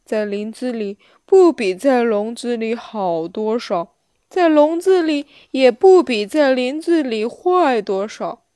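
A wise storybook elephant, voiced by a woman, takes its time over every phrase. The delivery is calm and measured, fairly high in pitch, with a close, dry sound. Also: no room sound. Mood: calm, detached. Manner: slow, deliberate, measured delivery. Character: wise, calm, unhurried elephant, mildly philosophical. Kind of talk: children's storybook character voice.

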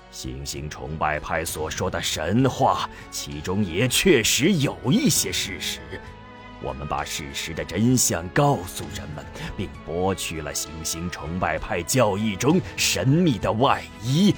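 A man's voice: low voice, sing-song, slow, full character voice. A man playing a proud, angry old astronomer and university president in a theatrical, emphatic radio drama style. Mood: scornful, earnest. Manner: theatrical, indignant, emphatic delivery. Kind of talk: Chinese radio drama acting. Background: music.

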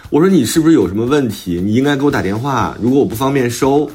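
一位男性脱口秀主播，轻松随意地聊天，爱讲自己的小故事和吐槽。. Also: male voice, medium pitch, expressive, fast talker, darkish tone, slightly rough. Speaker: podcast co-host and author. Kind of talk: casual banter on a talk show.

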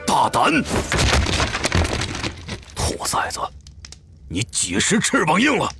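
A man playing a stern, gruff middle-aged tiger-demon clan chief and father in an audio drama, with a gravelly voice. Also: on the high side, huge pitch swings, slow pace, over music, heavily acted. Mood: stern, scolding. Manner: gruff, scolding, authoritative.

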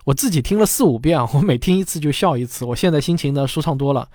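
A man's voice: fairly high pitch, animated, fast talker, a little mellow, dry recording, soft background music. A man speaking as host, giving a reflective personal commentary.

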